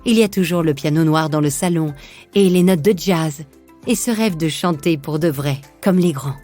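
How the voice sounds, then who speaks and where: A woman's voice: deep voice, mellow, no echo. A woman narrating a scripted biographical podcast.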